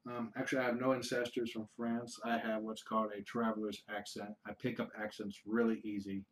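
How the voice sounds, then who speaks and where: Halting middle-aged man chatting casually. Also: fairly low pitch, little pitch movement, slight background noise, rough recording. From United States. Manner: rambling, halting, with frequent restarts and fillers. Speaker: kitten foster livestreamer. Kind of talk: casual livestream Q&A, reading out viewer questions.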